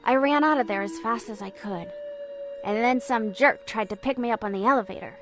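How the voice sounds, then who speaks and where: A woman voicing a troubled but spirited haunted client in a video game cutscene, expressive and questioning. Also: on the high side, brisk, music in the background, lightly acted.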